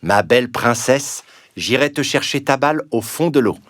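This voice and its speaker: A man voicing a polite, pleading talking frog that punctuates its speech with croaks, in a children's storybook cartoon. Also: mid-range voice, lively intonation, normal speed, background noise, natural voice. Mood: coaxing.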